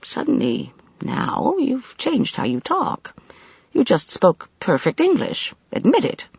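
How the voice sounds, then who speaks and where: A man's voice: a little high, huge pitch swings, unhurried, vocal fry, slightly rough, no room sound, highs cut off, heavily acted. A man playing an inquisitive, kindly woman visitor in an audiobook, questioning frankly. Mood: startled, accusing. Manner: questioning, frank, easily startled.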